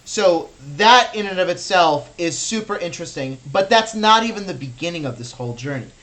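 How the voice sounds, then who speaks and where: A young American man whose first language was Russian talks visitors through a gallery in a loose, enthusiastic way. His voice sits a little high, and he fills his sentences with ums and restarts. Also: lively intonation, fairly quick, bright, forceful tone, poor audio. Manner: chatty, filler-heavy, enthusiastic. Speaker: virtual gallery tour guide. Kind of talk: casual guided tour.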